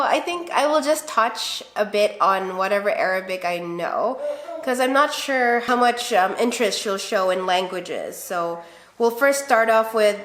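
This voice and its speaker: A woman's voice: mid-range voice, fairly bright tone. Tagalog-speaking woman in an echoey room. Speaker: vlog co-host, mother. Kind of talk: casual couple chat. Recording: roomy echo, faint background noise.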